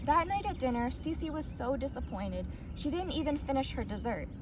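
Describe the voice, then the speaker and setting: A woman narrating a children's picture book aloud in an expressive storytelling manner. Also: high voice, moderate pace. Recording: distant mic, loud outdoor ambient noise.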